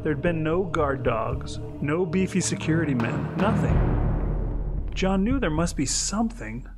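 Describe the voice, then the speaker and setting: A man's voice: fairly high pitch, lively intonation, unhurried. Suspenseful male story narrator over music.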